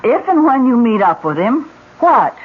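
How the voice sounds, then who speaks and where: Anxious, high, husky questioner. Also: female voice, very animated, moderate pace. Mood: anxious, insistent. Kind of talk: 1970s radio drama acting. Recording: tinny old radio broadcast.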